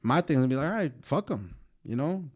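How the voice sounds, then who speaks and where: A young man co-hosting a casual podcast, slightly hoarse from a sore throat, rambling in a laid-back way. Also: fairly high pitch, very animated, a little fast. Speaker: podcast co-host. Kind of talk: casual chat.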